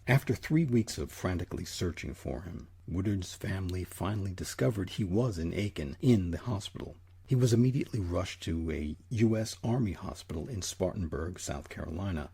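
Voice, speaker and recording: Deep, very husky male narrator. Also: warm tone. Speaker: narrator and host. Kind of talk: scripted documentary narration.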